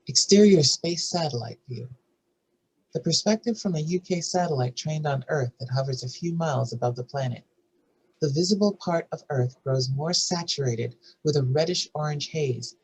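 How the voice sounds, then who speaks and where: Husky narrator reading screenplay directions. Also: medium pitch, poor audio.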